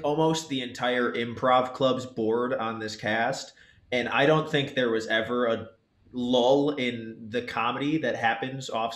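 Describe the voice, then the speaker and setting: A man's voice: mid-range voice, a bit bright, roomy sound. A young man chatting casually as a panelist on a group video call. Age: young adult. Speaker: cast member in a Q&A. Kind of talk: casual chat. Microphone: video call microphone.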